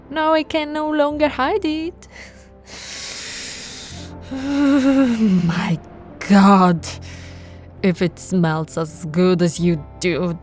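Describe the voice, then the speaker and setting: A woman voicing a possessive, seductive vampire royal in an ASMR roleplay, teasing and often slipping into breathy whispers. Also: on the low side. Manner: slow, teasing, breathy whispering with soft laughs.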